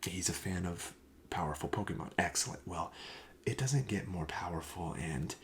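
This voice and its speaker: A man speaking softly and breathily, often near a whisper, gently explaining wares as a shop clerk in an ASMR roleplay. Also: deep voice, animated, normal speed, slight background noise. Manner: gentle, explanatory sales patter. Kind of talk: soft-spoken ASMR roleplay.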